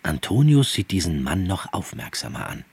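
A man narrating a scripted radio drama in a measured storytelling manner. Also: deep voice, wildly swooping pitch, vocal fry, darkish tone, breathy edge, no room sound.

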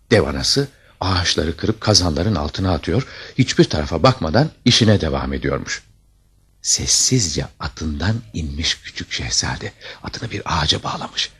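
A man narrating a fairy tale as a storyteller, with dramatic scripted delivery and a deep, husky voice. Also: fairly bright tone.

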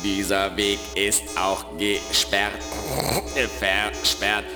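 A man playing a dour computer virus henchman who repeats his own phrases deadpan in a children's radio play. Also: on the low side, drawn-out words, music in the background. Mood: glum deadpan.

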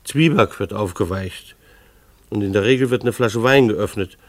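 An actor playing a harried, ironic husband and father, narrating his family's chaos in a deliberate, formal radio drama style. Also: on the low side, no room sound, natural voice. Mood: wry, deliberate.

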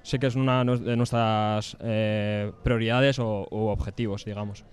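A man with an accent from Spain giving informal interview answers as a small-press publisher, hesitant and careful with many pauses. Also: lowish voice, steady tone, clean tone.

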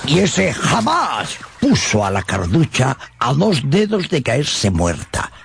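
A man's voice: mid-range voice, huge pitch swings, slow pace, gravelly, a bit bright, a little hiss or hum. A man narrating a radio drama as a theatrical storyteller who addresses his listeners conversationally. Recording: music under the voice.

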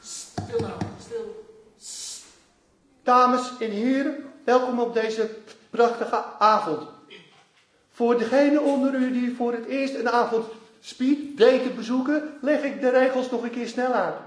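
Radio drama: fussy, florid master of ceremonies, extremely high voice, announcing formally in an echoing room. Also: male voice, measured pace, long pauses. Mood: ceremonious.

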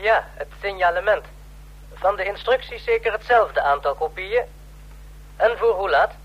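A man's voice: high voice, normal speed, muffled recording. A man playing a dry, dutiful copy clerk in a radio play, speaking in a clipped, matter-of-fact way. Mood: businesslike. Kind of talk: Dutch radio play acting.

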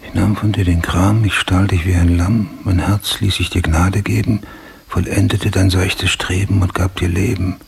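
Deep, gravelly, obsessive police detective. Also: male voice, flat delivery, normal speed, a little hiss or hum. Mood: grim recital. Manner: terse, coarse, brooding. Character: obsessive hard-boiled male police detective hunting a killer. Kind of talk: German radio drama acting. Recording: music laid under the voice. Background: music.

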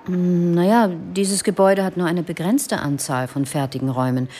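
An actress playing a friendly, discreet office consultant in a naturalistic radio drama, with a casual, reassuring manner. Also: female voice, on the low side, fairly even intonation, normal speed, dark tone, slight background noise, natural voice. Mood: friendly, candid.

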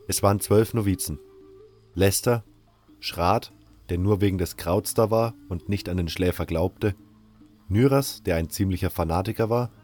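A man's voice: low voice, darkish tone. A man playing a sceptical prisoner who narrates his own story in a scripted fantasy audio drama.

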